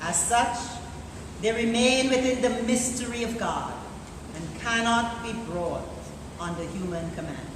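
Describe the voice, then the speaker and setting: A woman reading scripture aloud as a deacon in a church service, deliberate and solemn. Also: on the high side, far from the mic. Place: large church interior, live service. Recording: echoey church from afar, noisy live recording.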